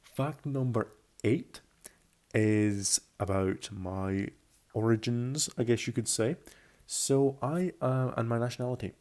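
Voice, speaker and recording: A man's voice: medium pitch, slow, darkish tone. A man in his early twenties giving casual commentary about himself, rambling and often restating or correcting his phrases. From Belgium, Scotland and France. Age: early 20s. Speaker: YouTuber.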